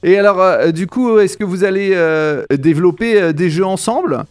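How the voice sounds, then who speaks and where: A man's voice: high-pitched, normal speed, darkish tone, faint music behind. A man interviewing a guest, asking brief questions with short acknowledgements in between.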